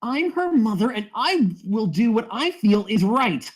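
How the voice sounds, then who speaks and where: Actress as an indignant mother, animated pitch. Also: measured pace. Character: indignant, firm mother of an adult daughter. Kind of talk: table read of a screenplay.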